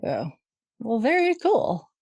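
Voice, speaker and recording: A woman's voice: fairly high pitch, wildly swooping pitch, takes it slowly, a little mellow, husky, no echo, highs cut off. A woman hosting a podcast in a casual, conversational style. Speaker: podcast host. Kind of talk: casual discussion.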